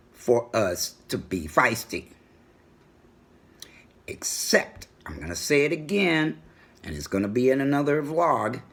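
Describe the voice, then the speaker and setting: A middle-aged man with a booming voice, talking assertively in a personal home vlog. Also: breathy edge. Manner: assertive, animated storytelling. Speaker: vlogger. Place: home room with a world map on the wall.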